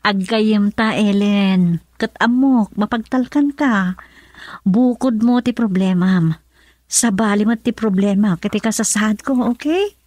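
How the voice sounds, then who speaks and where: A woman's voice: mid-range voice, unhurried, a little mellow, no echo, lightly acted. An actress with an Ilocano accent playing a secretive, insistent young woman in a radio love drama. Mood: firm.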